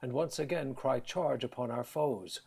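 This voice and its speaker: An actor playing a bold, warlike earl in a Shakespearean audio drama, declaiming resolutely in a gravelly, husky voice. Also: male voice, mid-range voice, normal speed, a little mellow. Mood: rallying, commanding. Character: male warlike earl, bold, defiant kingmaker.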